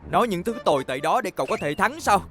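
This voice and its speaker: A man playing a proud, earnest boy racer in a dubbed animated series. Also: high voice, fast, over music. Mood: indignant. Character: proud, easily offended boy racer, loyal at heart.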